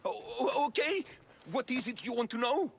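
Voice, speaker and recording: A man's voice: very high voice, animated, normal speed, vocal fry, slight background noise, heavily acted. An actor playing a cowardly cult henchman who stammers and pleads frantically under threat. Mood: panicked. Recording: orchestral game score underneath.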